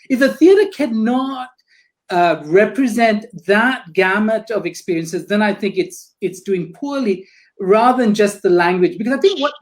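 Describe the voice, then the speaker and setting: A woman's voice: mid-range voice, darkish tone, low-quality recording. A woman theatre critic on a panel discussion, speaking thoughtfully with many restarts and fillers, over a video call.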